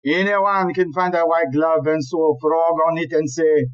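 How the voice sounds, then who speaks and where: Man playing high-pitched, exasperated police chief. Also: steady tone, highs cut off, close to an everyday voice. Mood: indignant explaining. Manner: ranting, emphatic, deliberate. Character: exasperated, blustering male chief police inspector. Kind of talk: comic audio play acting.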